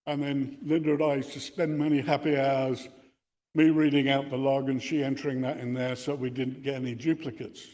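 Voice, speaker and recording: A man's voice: medium pitch, little pitch movement, brisk, a little mellow. Older man lecturing in a reverberant hall. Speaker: presenter giving a talk on radio contesting. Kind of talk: informal lecture with explanations.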